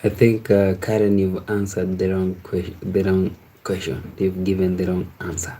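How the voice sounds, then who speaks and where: A man hosting a casual live stream, chatting with viewers in a halting, repetitive way. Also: deep voice, level tone, dark tone. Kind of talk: casual chat with live viewers, reading out and answering comments.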